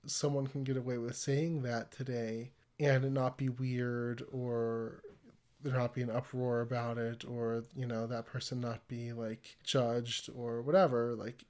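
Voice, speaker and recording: Stuffy-nosed man chatting casually. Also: medium pitch. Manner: rambling, animated storytelling with laughs. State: congested from a cold. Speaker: podcast host. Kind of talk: casual conversational podcast.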